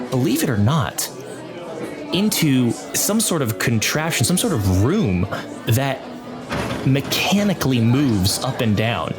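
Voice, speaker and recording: A man acting as game master, describing scenes in improvised narration with pauses mid-phrase. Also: medium pitch.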